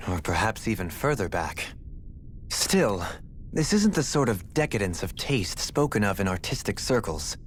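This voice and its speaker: Erudite adventurer for a game, pompous and courteous, animated low delivery. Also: male voice, a little hiss or hum. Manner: refined, pompous, lecturing. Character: erudite, lofty male adventurer, courteous and philosophical. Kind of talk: video game dramatic acting.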